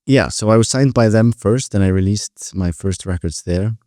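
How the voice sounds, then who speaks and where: A young Icelandic man with a very low, mellow voice, recorded close and dry. He talks casually as a podcast guest, enthusiastic and a little rambling, often rewording himself mid-thought. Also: moderate pace, no room sound. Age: 20s. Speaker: podcast guest, composer and pianist. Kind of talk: casual interview conversation.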